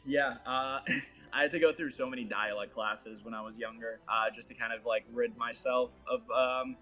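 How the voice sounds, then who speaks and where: Casting: man, native Portuguese speaker, bright and forward tone, loose rambling delivery with fillers. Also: mid-range voice, fairly even intonation, moderate pace, faint music behind. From Boston area, USA. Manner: rambling, filler-heavy, laughs often. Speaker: actor, interview guest. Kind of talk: casual interview chat.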